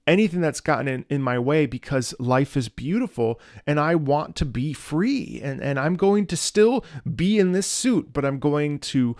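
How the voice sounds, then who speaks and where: A man giving casual music analysis as a reaction host, thoughtful and halting with emphatic bursts. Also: on the high side, animated, darkish tone, dry recording, clean studio sound. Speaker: reaction host, opera singer.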